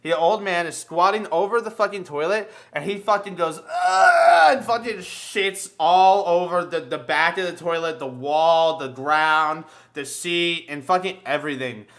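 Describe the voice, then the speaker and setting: A man in casual podcast banter, telling crude stories with a lot of swearing and an animated delivery. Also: on the high side, normal speed, few pauses. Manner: crude, foul-mouthed, animated storyteller.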